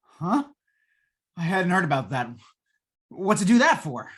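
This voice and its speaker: Woman acting husky, flustered company man. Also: lowish voice, big pitch swings, a little slow, heavily acted. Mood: defensive, flustered. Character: defensive, hesitant company technician, a man. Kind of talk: 1950s old-time radio acting.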